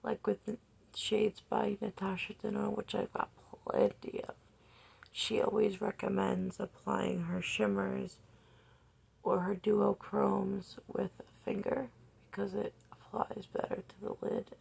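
Raspy, soft-spoken young woman doing makeup. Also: medium pitch, little pitch movement, unhurried, low-quality recording. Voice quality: slightly raspy. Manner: soft-spoken, often near a whisper while working. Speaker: makeup vlogger. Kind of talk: casual makeup tutorial talk. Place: bedroom, indoors. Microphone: phone camera close up.

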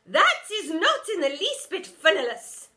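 A woman's voice: extremely high pitch, wildly swooping pitch, unhurried, sharp tone, pitched above the performer's own voice, heavily acted. A woman voicing a vain, pompous female art teacher who drags out her words and mangles them with made-up endings. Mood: grandiose. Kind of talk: storybook character voice.

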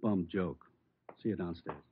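An actor playing a practical police helper, brisk and matter-of-fact, in an old-time radio drama. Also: male voice, low voice. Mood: businesslike. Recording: tinny, faintly noisy old radio recording.

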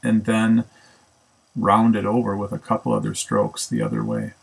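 A man's voice: lowish voice, sing-song, moderate pace, gravelly, husky, rough recording. A man casually narrating a woodworking build, thinking aloud with ums and self-corrections. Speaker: woodworking instructor. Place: home woodshop.

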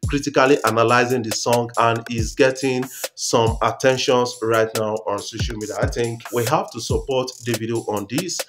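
A man's voice: medium pitch, fairly even intonation, normal speed, few pauses, fairly bright tone, soft background music. A man in his thirties with a Nigerian accent, giving informal entertainment news commentary to camera as a vlogger. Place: small room at home, indoors.

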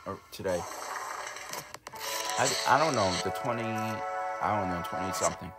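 A man's voice: deep voice, moderate pace. A young man casually reacting to a cartoon video at home, rambling and giggling with lots of fillers and self-corrections. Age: young adult. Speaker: YouTube reactor. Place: small home recording room, indoors. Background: loud music from the video he is reacting to.

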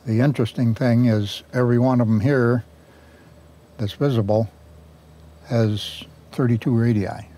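An older man giving a garden tour outdoors, talking in a folksy, unhurried way on a lapel mic. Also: medium pitch, steady tone, warm tone. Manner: folksy, unhurried, chuckles at own jokes. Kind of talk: casual storytelling tour.

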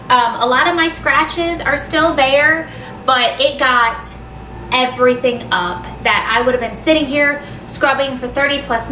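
A high-pitched woman chats through a cleaning test, full of fillers and quick asides. She is filmed in a home kitchen by a camera set back from her, so the voice sounds distant and roomy. Steady background noise hums underneath. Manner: chatty, animated, hesitant fillers. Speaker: cleaning vlogger. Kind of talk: casual vlog chat. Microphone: camera across the room. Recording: echoey kitchen, far from mic, background noise.

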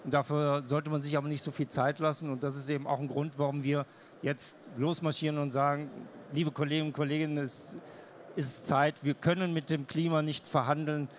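A man's voice: fairly high pitch, flat delivery, a little fast, a little hiss or hum. A man in his fifties, a managing director, making his point emphatically on a trade fair panel with a handheld mic. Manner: earnest, emphatic, repeats points. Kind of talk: panel discussion on stage. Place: large trade fair hall stage.

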